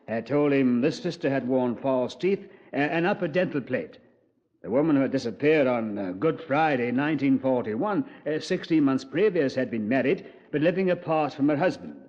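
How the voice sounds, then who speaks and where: An actor playing a measured, understated British police commander narrating a case in a 1950s radio drama. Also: male voice, mid-range voice, a little mellow, natural voice. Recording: muffled vintage radio recording.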